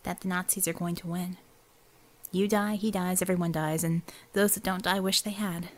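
A woman's voice: on the low side, fairly even intonation, normal speed. A woman voicing an unflappable, dry-witted heroine in an audiobook, blunt and matter-of-fact. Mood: grave.

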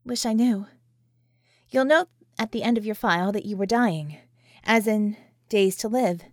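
A woman playing a sardonic, sharp-tongued, weary woman with a wry, emphatic manner in an audiobook. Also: mid-range voice, measured pace, no room sound, studio quality, natural voice.

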